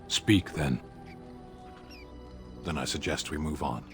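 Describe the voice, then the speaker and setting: A stern giant of a warrior, with a rumbling, extremely deep voice. He speaks in short, solemn, measured statements, as game dialogue over faint music. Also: a bit bright, heavily acted. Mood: stoic, grave. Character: stern giant warrior, a stoic adult male soldier. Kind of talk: video game character acting.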